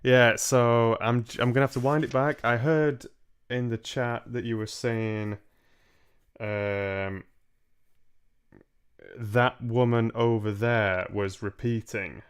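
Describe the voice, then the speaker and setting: A man's voice: medium pitch, fairly even intonation, slow, smooth. A man in his twenties chatting casually as he hosts a live-streamed book reading from a room at home. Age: 20s. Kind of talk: casual livestream chat and reading aloud. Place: small home room, indoors.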